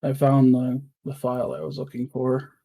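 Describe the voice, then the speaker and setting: A man's voice: medium pitch, monotone, moderate pace, gravelly, dark tone. A young man co-hosting a casual streamed chat, eagerly explaining tech details and restarting his phrases. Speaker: co-host.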